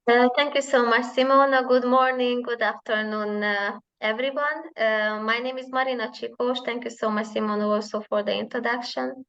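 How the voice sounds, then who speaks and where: A woman's voice: a little high, steady tone, normal speed, hardly any pauses, a bit bright. A woman in her thirties from Hungary, opening her webinar presentation over a video call with a friendly manner and a few filler sounds. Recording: slightly dull lo-fi call audio.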